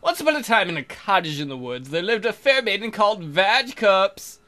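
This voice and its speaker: A man's voice: high-pitched, very animated, moderate pace, pushed and bright, faint music behind. A man hosting a let's play, reading visual novel text aloud and cracking irreverent, crude jokes. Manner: irreverent, crude joking, frequent mock voices. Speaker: let's play video host.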